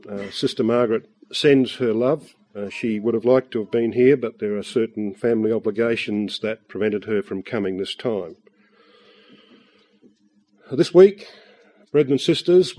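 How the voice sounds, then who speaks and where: A man with a husky, congested voice from the flu, giving an earnest, measured Bible study talk with frequent fillers. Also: lowish voice, dark tone. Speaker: visiting Bible study speaker.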